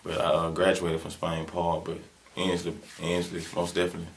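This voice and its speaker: A man's voice: low voice, completely flat delivery, a little fast, gravelly. A man in his twenties with a Southern US accent, a rapper answering interview questions in laid-back, slangy talk full of fillers. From Birmingham, Alabama. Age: 20s.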